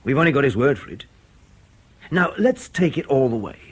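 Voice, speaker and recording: Husky, animated intelligence officer. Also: male voice, mid-range voice, normal speed. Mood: urgent reasoning. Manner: colloquial, argumentative, methodical. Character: brisk, reasoning male intelligence station officer. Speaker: intelligence officer briefing a colleague. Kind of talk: radio drama acting.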